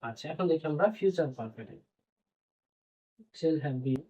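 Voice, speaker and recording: A man's voice: a little high, lively intonation, moderate pace, warm tone. A man teaching a grammar lesson, repeating points and answering his own questions as he explains. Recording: dull, lo-fi band-limited sound.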